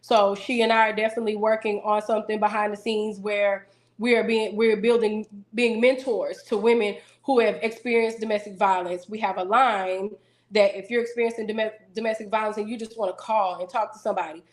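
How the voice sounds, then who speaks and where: A woman singer interviewed as a guest, talking casually in a self-assured, businesslike way with a deeper voice. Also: fairly even intonation, fairly quick. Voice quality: deeper singing voice.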